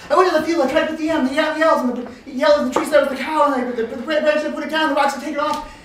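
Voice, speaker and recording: A woman voicing an excitable male farmer in a story, stammering and babbling breathlessly in panic. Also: sits high, racing, slight background noise, pitched above the performer's own voice, natural voice. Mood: panicked. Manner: breathless, stammering, run-on delivery. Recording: very echoey room, distant mic.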